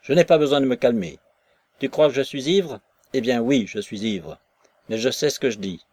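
A man voicing a bitter, drunk young woman prisoner who talks in a scornful, sharp-tongued way. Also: on the low side, animated, normal speed, dry recording. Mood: furious.

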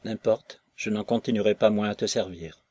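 A man's voice: mid-range voice, moderate pace, a little mellow, no echo, natural voice. A man voicing a remorseful nobleman who speaks in an earnest, pleading way.

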